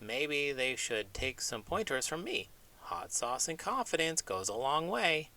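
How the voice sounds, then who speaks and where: A man's voice: mid-range voice, big pitch swings, a little slow, pushed and bright, pitched above the performer's own voice, heavily acted. A man voicing a cheeky, boastful pig obsessed with food, wisecracking in a comic children's read-aloud skit. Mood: cheeky, skeptical. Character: cheeky hot-sauce-obsessed pet pig, brashly confident.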